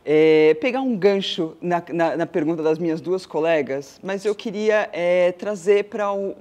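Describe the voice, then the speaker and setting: A woman's voice: on the low side, moderate pace, darkish tone. A woman with a Brazilian Portuguese accent, a journalist in a television studio, asking a long question with many fillers and repetitions. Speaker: journalist interviewer.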